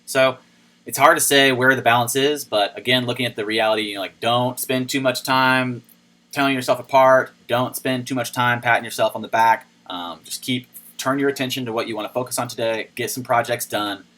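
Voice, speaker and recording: A man with a low, mid-pitched voice talks through the day's news in a loose, chatty commentary, and he breaks into playful jokes now and then. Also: steady tone, brisk, sharp tone. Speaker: crypto news video host. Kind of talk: casual commentary on news articles.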